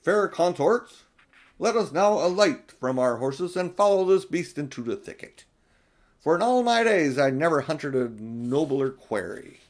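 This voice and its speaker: A man's voice: on the high side, animated, unhurried. A man voicing courtly storybook royals with a stately, formal, old-fashioned way of speaking. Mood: stately, commanding. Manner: stately, archaic formal speech. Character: courtly adult king and queen, formal and grand.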